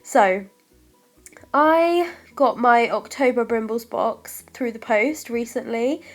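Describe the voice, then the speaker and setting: A woman's voice: on the high side, slow pace, faint music behind. A young British woman with a stuffy, congested voice from a cold, chatting through a scrapbooking voice-over, a little short of breath and apologetic. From United Kingdom. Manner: chatty, apologetic, lots of ums. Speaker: craft vlogger.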